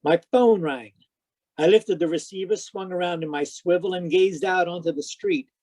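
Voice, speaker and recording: A man playing a wisecracking private detective who narrates deadpan in 1940s radio drama style. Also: high voice, normal speed, dry recording, rough recording. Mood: wry narrating. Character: wry wisecracking male private detective narrating his case. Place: live video-call performance, voice close and dry.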